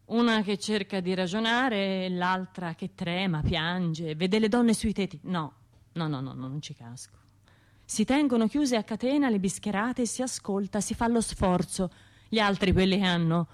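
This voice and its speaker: An actress playing an anxious, self-doubting trauma survivor in a radio drama, talking to herself in broken, halting phrases with a Tuscan accent. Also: female voice, medium pitch, close to an everyday voice. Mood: bitter, anxious, then self-scolding. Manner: halting, fragmented, self-interrupting inner monologue.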